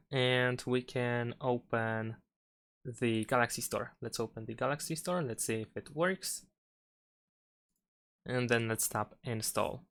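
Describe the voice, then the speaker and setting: A man's voice: mid-range voice, a bit bright, clean tone. A man hosting a tutorial, talking through steps one by one in an unscripted, instructional way. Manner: instructional, unscripted step-by-step delivery. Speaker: tutorial host. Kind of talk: step-by-step spoken phone tutorial.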